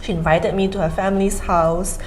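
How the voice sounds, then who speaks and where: A woman in her thirties from Singapore with a Singaporean accent, telling lively personal stories on a panel. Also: mid-range voice, brisk, faint music behind. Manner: lively anecdotes with fillers. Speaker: panel speaker. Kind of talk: storytelling in a panel talk.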